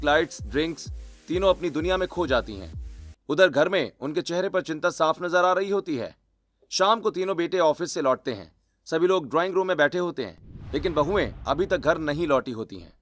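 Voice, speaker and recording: A man's voice: fairly high pitch, lively intonation, a little fast, fairly bright tone, soft background music. A man narrating a scripted cartoon story.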